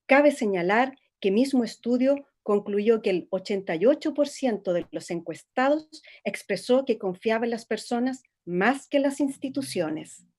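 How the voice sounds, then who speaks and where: An actress playing a female documentary reporter, reading scripted narration in a measured, informative way. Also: on the high side, expressive, a little mellow.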